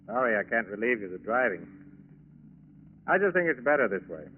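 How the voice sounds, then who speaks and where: An actor playing a polite, cold-blooded American fugitive killer in a 1940s radio drama. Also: male voice, mid-range voice, big pitch swings, brisk, husky. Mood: coolly menacing. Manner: polite, self-possessed, menacing. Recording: thin phone-like 1940s radio recording, music behind.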